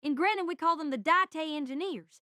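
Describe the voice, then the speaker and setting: A woman's voice: high-pitched, normal speed, pushed and bright, no room sound, clean studio sound. A woman playing an eager, friendly young male engineering student, chatty and earnest, in an audio drama. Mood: enthusiastic, friendly.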